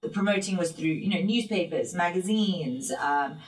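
Low-voiced woman, echoey and distant. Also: animated, moderate pace. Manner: thoughtful, many fillers and restarts. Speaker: guest singer. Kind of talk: reflective interview talk. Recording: roomy and distant from the mic.

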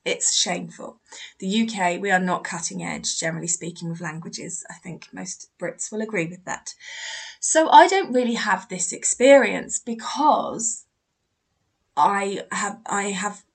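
A British woman talks through a listener's question on her podcast, chatty and a little self-deprecating. Her voice sits at an everyday middle pitch and her delivery is loose and conversational. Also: unhurried, gravelly, husky. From Britain. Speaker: podcast host, mediumship teacher. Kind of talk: casual podcast monologue answering a listener.

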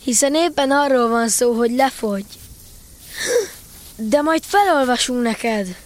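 Children's audio drama: female actor as young boy, high voice, kind, affectionate, calmly practical. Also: lightly acted. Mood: reassuring. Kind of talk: radio play for children.

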